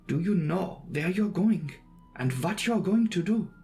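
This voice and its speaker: A man's voice: high-pitched, animated, a little slow, mellow, faint music behind, voice pushed up. A man voicing a distressed, superstitious old woman who pleads urgently in a dramatic audiobook. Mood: fearful warning. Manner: urgent, emphatic, imploring.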